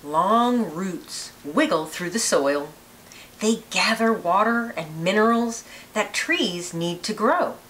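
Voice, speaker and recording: Female storybook reader, animated and unhurried, deliberate expressive phrasing for young children, slightly hissy recording. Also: mid-range voice, low-quality recording. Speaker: read-aloud narrator, teacher. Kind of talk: children's picture book read-aloud.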